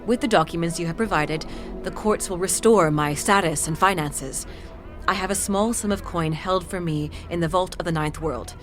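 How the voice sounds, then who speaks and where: A woman acting a grateful, dignified noblewoman in an audio drama, speaking in a measured, formal, solemn way. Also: fairly low pitch, soft background music, lightly acted. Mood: solemn gratitude. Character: grateful, dignified wronged noblewoman, just freed.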